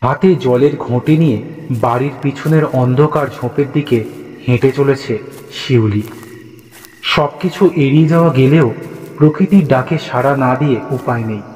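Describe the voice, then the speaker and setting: A man narrating a scripted horror story in a dramatic, deliberate way. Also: medium pitch, a little mellow. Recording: echoey, reverberant, music behind.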